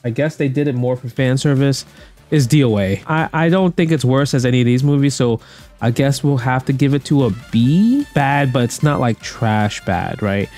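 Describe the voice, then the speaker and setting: Male commentator, casual and opinionated, slangy, repeats points for emphasis, mid-pitched, light music bed. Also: animated, normal speed, darkish tone. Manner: slangy, emphatic, repetitive phrasing. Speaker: guest commentator ranking movies. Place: indoor room at home.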